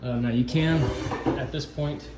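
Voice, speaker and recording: A man giving an informal instructional walkthrough of equipment, explaining in a halting, improvised way. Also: medium pitch, steady tone. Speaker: sound system installer giving a walkthrough. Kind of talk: informal instructional demo narration. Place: indoor camp office. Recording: echoey room, noisy ambient background.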